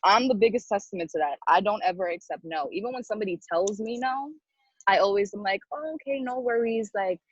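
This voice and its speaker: A woman's voice: medium pitch, a little fast, slightly rough, dry recording. A woman coaching a sales team in a casual, motivational style, blunt and slangy, emphatically repeating her key phrases, on a dull lo-fi recording. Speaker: sales team coach leading a training call. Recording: dull lo-fi sound.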